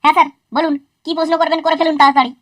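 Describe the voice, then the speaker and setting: A woman voicing a cheeky, foul-mouthed young schoolboy in a cartoon, talking in a quick, sassy and crude way. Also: sits high, fairly even intonation, fairly bright tone, dry recording. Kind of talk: cartoon voice acting.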